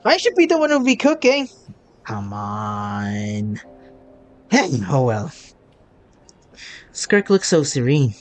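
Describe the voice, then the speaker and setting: A man streaming a story game, reading dialogue aloud and adding halting, muttered asides. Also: medium pitch, wildly swooping pitch. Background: game music.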